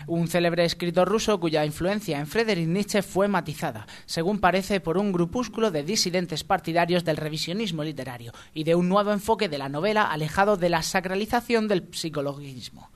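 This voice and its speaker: Higher-voiced Spanish man presenting spoof news. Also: a little fast, hardly any pauses. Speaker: radio comedy co-host. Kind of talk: mock news reading with casual banter.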